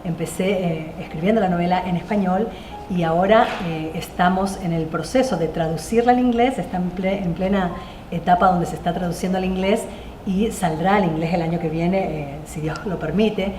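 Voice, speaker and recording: A woman's voice: fairly low pitch, normal speed, music in the background. Hesitant woman novelist, echoey room. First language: Spanish. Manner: hesitant, many fillers and restarts. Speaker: novelist guest. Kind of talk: panel discussion answers. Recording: echoey room with faint noise.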